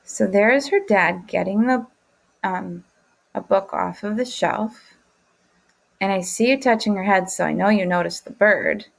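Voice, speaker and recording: A woman's voice: on the high side, vocal fry. A woman teacher leading an interactive picture-book lesson for children, encouraging them and prompting with questions. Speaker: teacher reading aloud to children.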